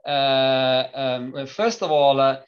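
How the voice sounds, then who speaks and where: A middle-aged man from Italy with an Italian accent, a company founder speaking as an interview guest, pausing often with filler sounds and restarts. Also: mid-range voice, lo-fi sound. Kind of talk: conversational interview.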